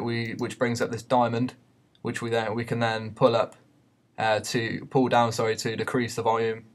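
Male tutor, lowish monotone voice, hesitant, talking through an unscripted screen-recorded lesson. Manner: hesitant, explanatory. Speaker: media teacher giving a software tutorial.

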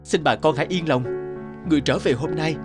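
A man's voice: on the high side, fairly quick, music in the background, lightly acted. A man voicing a humble, repentant former official who speaks earnestly and pleadingly in a cartoon. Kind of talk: Vietnamese cartoon dubbing.

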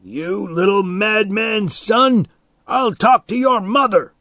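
A man voicing a big, angry bully in a story, shouting, taunting and threatening in a raised voice. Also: medium pitch, slow, dry recording, clean studio sound, close to an everyday voice. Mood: furious.

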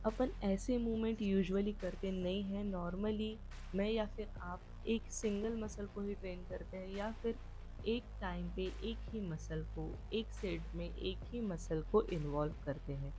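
A woman's voice: medium pitch, unhurried, dark tone, low-quality recording. A woman giving a fitness vlog voice-over, explaining exercises and reminding viewers about posture and rest. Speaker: fitness vlogger. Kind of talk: instructional voice-over.

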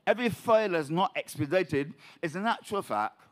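Impassioned Barbadian man, lively pitch. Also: fairly quick. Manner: declaiming, rising to emphatic peaks. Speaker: audience member at a public meeting. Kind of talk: impassioned public speech. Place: large hall at a public meeting.

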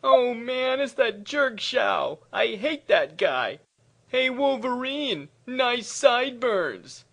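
A hot-headed teenage villain prince from a cartoon parody, acted by a man. His high voice is whiny and petulant, and his threats come out awkward. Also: big pitch swings, slow pace, creaky, bright, forceful tone, exaggerated voice. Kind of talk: comedic cartoon parody dub.

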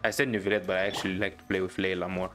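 A man's voice: sits low, flat delivery, rapid-fire, fairly bright tone. A man giving casual let's play commentary as a gaming streamer, talking off the cuff. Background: video game music.

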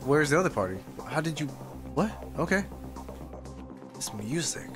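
A man's voice: on the high side, lively intonation, moderate pace. A man doing casual livestream commentary as he reacts to a game, exclamatory and jokey. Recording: game music behind the voice.

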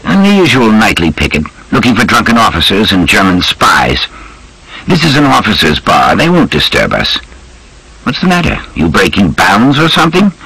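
A man acts out a hard-drinking British army major in an audiobook. The pitch is lifted well up and jumps around, with a forceful edge. He talks in clipped, slangy bursts, bluff and full of complaint. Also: huge pitch swings, normal speed, slightly rough, no echo, heavily acted, rough recording. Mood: bluff, casual. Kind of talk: audiobook character dialogue.

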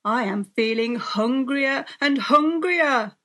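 A woman's voice: on the high side, slow. Storybook villain: hungry, sly he-wolf, menacing and savouring each word, performed by a female reader. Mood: hungry, greedy. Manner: sneaky, menacing, relishing his words. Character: sly, greedy, hungry male big bad wolf. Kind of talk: children's storybook character voice.

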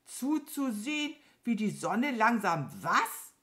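Lady doing a grumpy crow for a kids story, way high pitched, snappy and boastful, swoops around. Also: big pitch swings, unhurried, fairly bright tone. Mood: pedantic, cross. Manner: grumbling, snappy, exclaiming. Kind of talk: children's storybook character voice.

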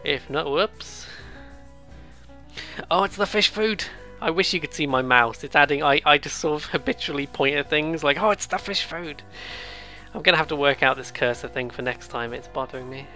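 A bright, forceful voice at a middling pitch gives casual let's play commentary over music. The delivery is rambling and excitable, drifting between reading game text aloud and jokey asides. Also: normal speed. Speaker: let's player.

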